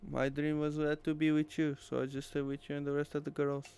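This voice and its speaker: A man voicing a devoted, upbeat visual novel companion with loud, emphatic delivery. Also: fairly high pitch, completely flat delivery, brisk, smooth, close to an everyday voice.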